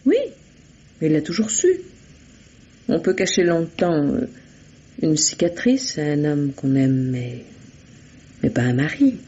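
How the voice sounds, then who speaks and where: An actor playing an evasive, defensive murder suspect in a vintage radio mystery, hesitant and guarded under questioning. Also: male voice, a little high, unhurried, pauses often, warm tone, husky. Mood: explaining, wistful. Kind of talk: 1950s French radio mystery acting. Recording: dull lo-fi old radio recording.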